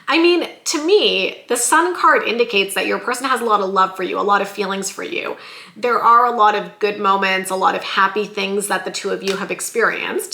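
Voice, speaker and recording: A woman giving a weekly tarot love reading in a chatty, lively way, her voice going a little hoarse. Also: mid-range voice, roomy sound. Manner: chatty, lively, says "like" and "you guys" often. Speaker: tarot reader.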